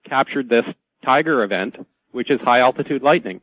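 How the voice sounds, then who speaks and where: A man as a radio guest, explaining things haltingly and often repeating words. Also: mid-range voice, animated, dry recording. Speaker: talk show guest. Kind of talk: explanatory radio interview talk. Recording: dull, lo-fi dry radio feed.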